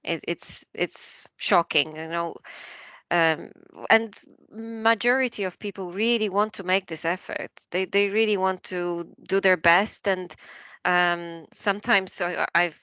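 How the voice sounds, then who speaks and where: Woman language coach, polish first language, bright crisp voice, lots of ums and little laughs. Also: on the low side, fairly even intonation, unhurried, dry recording, dull audio. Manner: chatty, laughs often, many fillers and restarts. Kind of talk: podcast interview.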